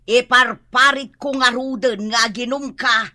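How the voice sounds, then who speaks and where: A woman's voice: fairly high pitch, steady tone, unhurried, bright, forceful tone, no echo, clean studio sound. A woman playing a nagging wife. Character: scolding wife of an old drinker. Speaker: radio drama actress. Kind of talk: Ilocano comedy radio drama acting.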